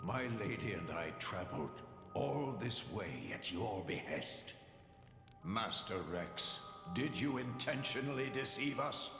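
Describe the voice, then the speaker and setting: An actor playing a solemn, loyal beast servant in a video game, formal, grave and reproachful. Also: male voice, on the low side, big pitch swings, slow, exaggerated voice. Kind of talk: video game cutscene voice acting. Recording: echoey hall reverb with music behind.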